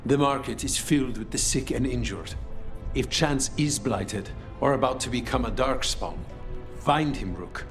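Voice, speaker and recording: Actor as solemn, worried fantasy guildsman, medium pitch, background score. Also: unhurried, close to an everyday voice. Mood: grave, urgent. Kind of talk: video game voice acting. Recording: music behind the voice.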